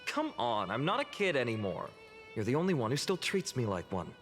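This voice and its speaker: A man's voice: mid-range voice, huge pitch swings, brisk, slightly rough, faint music behind. A male actor playing an earnest, proud young village man in an anime-style video game. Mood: indignant.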